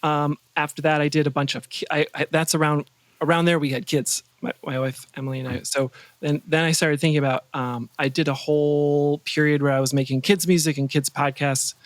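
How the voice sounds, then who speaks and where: Man, middle-aged, fairly high voice, dry close sound, conversational interview guest, halting phrasing. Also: little pitch movement, no room sound. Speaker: podcast guest, composer and sound designer. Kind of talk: casual interview chat.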